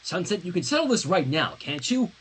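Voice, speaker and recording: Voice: mid-range voice, big pitch swings, a little fast, slightly rough. Performer doing an earnest, insistent pony princess. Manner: firm, insistent, dramatic. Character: poised pony princess, earnest, competitive rival in love.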